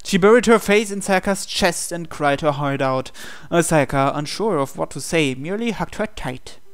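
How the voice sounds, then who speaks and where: A man's voice: on the high side, animated, normal speed, a little hiss or hum. A man reading visual novel narration aloud as host of a game playthrough. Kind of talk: scripted narration read aloud.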